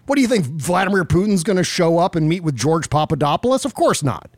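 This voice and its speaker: A man's voice: a little high, sing-song, fast talker, no echo, studio quality. A man hosting casual political commentary in a sarcastic, exasperated and profane way. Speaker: podcast host.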